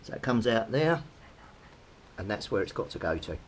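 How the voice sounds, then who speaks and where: A man's voice: lowish voice, big pitch swings, moderate pace. A man casually explaining a hands-on DIY job as he works, in an informal, matter-of-fact way. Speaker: DIY video maker. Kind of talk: casual hands-on demonstration, talking to camera while working. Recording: very noisy handheld recording with clicks, rustling.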